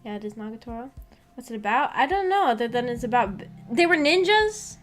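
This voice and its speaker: A woman's voice: on the high side, big pitch swings, fairly quick, faint music behind. A young adult woman chatting casually as a livestream host, rambling in a giggly, self-deprecating way. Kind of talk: casual livestream chat with viewers.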